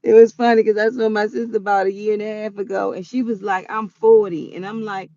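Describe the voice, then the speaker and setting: Gravelly woman around forty, chatty. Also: medium pitch, no room sound, highs cut off. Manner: chatty, animated, quick to laugh. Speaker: vlogger. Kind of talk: casual personal storytelling.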